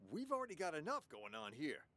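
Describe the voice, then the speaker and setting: A man's voice: on the high side, huge pitch swings, fast talker, a bit bright. A man playing a flustered, practical man in charge backstage in an audio drama. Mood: brisk, practical. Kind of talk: interactive radio drama acting.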